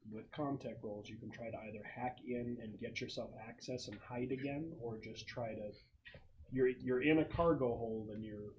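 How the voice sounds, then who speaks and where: A man's voice: medium pitch, darkish tone. A man acting as game master, casually explaining the situation and rules to players in a tabletop game. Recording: noisy room recording.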